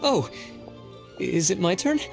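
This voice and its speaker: A nervous male bureaucrat from a game. He is pedantic and literal, stumbling haltingly through precise phrases. Faint music sits behind him. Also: high-pitched, very animated, gravelly, exaggerated voice. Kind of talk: video game voice acting.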